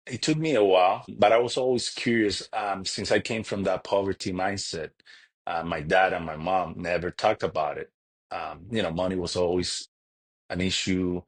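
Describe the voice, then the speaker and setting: Deep-voiced husky man from Cuba. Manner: earnest, halting with frequent restarts. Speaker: podcast guest. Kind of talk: casual interview talk.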